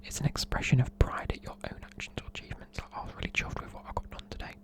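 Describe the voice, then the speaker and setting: A person with a British accent whispering informal, chatty, matey explanations in an ASMR style. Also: fast. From United Kingdom. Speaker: presenter explaining slang.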